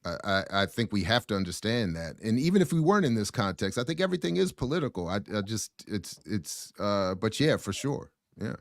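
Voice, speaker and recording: A man's voice: lowish voice, big pitch swings, a little fast, hardly any pauses, no room sound, studio quality. A man in casual panel chat who often repeats words as he builds his points.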